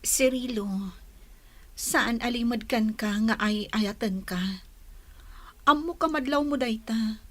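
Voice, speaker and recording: A woman with an Ilocano accent playing a gentle, hesitant young woman being courted in a radio drama. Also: a little high, slow, pauses often, dry recording. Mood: tender, doubtful.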